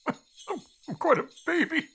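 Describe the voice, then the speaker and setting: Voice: sits high, sing-song, slow pace, gravelly, a bit bright, faint music behind, exaggerated voice. An actor playing an old miser in a radio drama, stammering and exclaiming with great emotion. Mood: giddy joy. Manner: stammering, repeating words, pleading and exclaiming. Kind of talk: theatrical radio drama acting.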